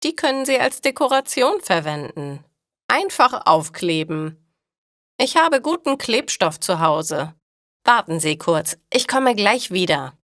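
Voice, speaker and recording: A woman acts out an insistent male neighbour in a language-learning story. He is friendly and eager to help, with emphatic, polite phrasing, a measured slow pace and animated intonation, recorded dry and close. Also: mid-range voice, no room sound. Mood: eager, helpful.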